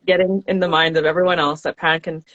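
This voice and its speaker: A host from Western Canada leading a casual live podcast chat, chatty and quick to laugh. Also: medium pitch, level tone, fairly bright tone, dry recording, highs cut off. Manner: chatty, laughs often, reads out viewer comments.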